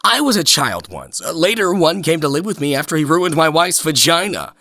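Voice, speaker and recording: A man performs a comedic dramatic reading in a high-pitched, bright voice with big swings in intonation. His delivery is theatrical and mock-formal, with sarcastic emphasis as he lays out each point. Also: sing-song, brisk, no echo, clean studio sound.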